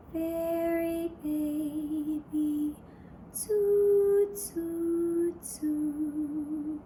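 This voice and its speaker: A woman voicing a tender storybook grandmother who soothes a baby fairy, soft and drawn-out. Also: very high-pitched, roomy sound, pitched above the performer's own voice, heavily acted, rough recording.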